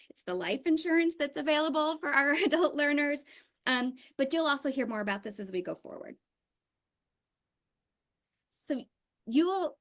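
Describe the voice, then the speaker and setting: A woman program director speaks on a webinar call with a slightly high voice. She is earnest and enthusiastic, and her talk is loose, with "um" and repeated words here and there. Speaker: adult education program director. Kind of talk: webinar presentation.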